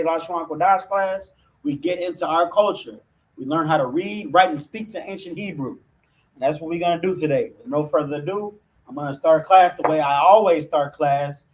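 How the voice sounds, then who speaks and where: A man's voice: fairly high pitch, normal speed, recorded from a distance, low-quality recording. A man with an American accent teaching an informal religious language class to camera in an emphatic, conversational way. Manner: emphatic, with frequent "you know what I'm saying". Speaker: religious group officer teaching a Hebrew class.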